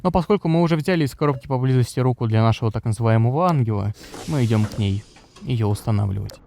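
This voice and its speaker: A man's voice: mid-range voice, animated, fast talker, gravelly, mellow. A man reading scripted narration for a game walkthrough video.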